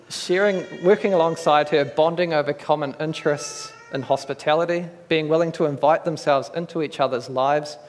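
A man with a New Zealand accent delivers a sermon in a church hall, speaking in an earnest, measured way. His delivery stays conversational, with small restarts. The long reverb of the hall trails after his words. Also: on the high side, a little hiss or hum. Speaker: preacher.

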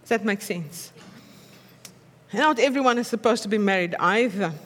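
A woman's voice: mid-range voice, moderate pace, in a large hall. A woman with an Afrikaans accent preaching a sermon in a conversational way, often checking in with her listeners through tag questions.

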